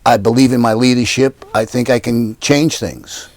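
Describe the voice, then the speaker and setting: Older male candidate, earnest stump-speech cadence, plain mid pitch, dry recording. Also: fairly even intonation, normal speed, no room sound. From Yonkers, New York. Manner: earnest, repetitive campaign speech. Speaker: council candidate. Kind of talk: interview answers. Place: living room at home.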